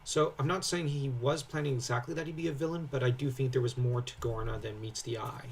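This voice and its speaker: A man's voice: medium pitch, steady tone, brisk, recorded from a distance, slight background noise. A young man co-hosting a casual lore breakdown, talking in an enthusiastic, rambling way full of tangents. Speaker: co-host commentator.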